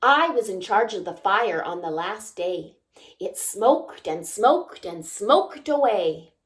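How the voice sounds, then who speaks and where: A woman reading a children's picture book aloud expressively, pausing to ask young listeners simple questions. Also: medium pitch, animated. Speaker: children's story time reader.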